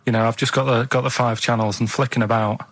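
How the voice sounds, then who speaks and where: A man's voice: medium pitch, little pitch movement, fast, a bit bright. A man with a Mancunian accent rambling earnestly and matter-of-factly in casual radio chat. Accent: Northern English, Mancunian. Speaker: radio show regular. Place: dry radio studio.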